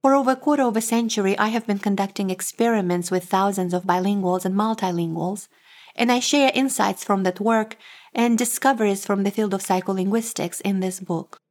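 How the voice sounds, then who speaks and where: A woman from Moldova, a native Romanian speaker with a Moldovan Romanian accent, giving a measured, scripted talk as an author and professor. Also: medium pitch, a little mellow.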